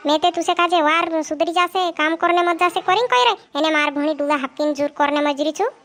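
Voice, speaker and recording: A woman's voice: extremely high pitch, a little fast, a bit bright. A woman playing a scolding village mother in a cartoon comedy, nagging and chiding her son.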